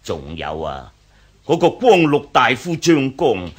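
An actor playing an arrogant, vindictive Han dynasty official in a Cantonese radio drama, haughty and scornful, with a Hong Kong accent. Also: male voice, mid-range voice, wildly swooping pitch, slow pace, breathy edge, exaggerated voice. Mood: resentful. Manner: haughty, scornful snorts and chuckles.

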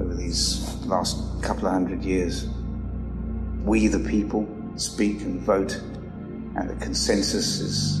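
A man preaching a sermon earnestly, reading scripture aloud and often adding tag questions to draw in his listeners. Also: sits low. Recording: echoey hall with music behind.

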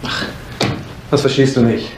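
An actor playing a wounded, defiant and fiercely loyal young son in a vintage German crime drama. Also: male voice, measured pace, close to an everyday voice. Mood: defiant, hurt. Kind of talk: 1970s German television crime drama acting. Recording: echoey room, faint noise.

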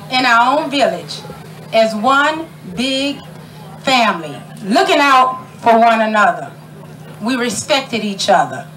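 A woman's voice: high voice, a bit bright, a little hiss or hum, rough recording. A middle-aged woman emceeing an outdoor community ceremony, chatty and folksy, laughing often and calling out to the crowd. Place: outdoor tent at a park gathering.

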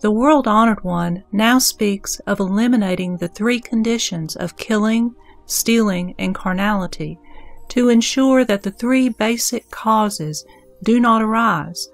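A woman's voice: mid-range voice, natural voice. A woman playing an earnest, reverent disciple questioning his master in a scripted scripture audio drama. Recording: dry voice over background music.

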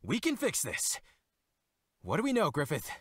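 An actor playing a brash, wry young nobleman in a fantasy game, with a casual, expressive delivery. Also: a little high, moderate pace, husky, no room sound, clean studio sound, heavily acted. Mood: exasperated. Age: young adult. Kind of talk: fantasy video game voice acting.

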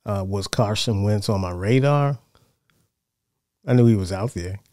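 Low, mellow American man talking sports. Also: a little slow, dry recording, clean studio sound. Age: middle-aged. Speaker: sports show host. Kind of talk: casual sports commentary. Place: small home studio, indoors. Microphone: close broadcast mic on boom arm.

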